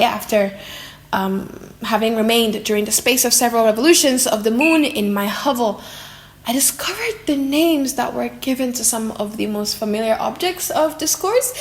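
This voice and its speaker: A woman voicing a lonely, tender monster in a dramatic, slightly silly audiobook reading, theatrical and emotive with drawn-out words. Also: fairly high pitch, lightly acted. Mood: yearning. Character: lonely, tender, wretched monster, eager to learn. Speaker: audiobook narrator.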